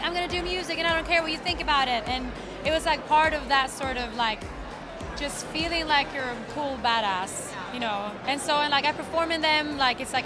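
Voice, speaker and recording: A woman from Sweden, chatting casually on a red carpet with many fillers. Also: high voice. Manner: casual, laid-back, lots of fillers. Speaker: red carpet guest, musician. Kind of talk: candid red carpet interview. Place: indoor event red carpet with crowd and music. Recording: noisy event with loud music and crowd.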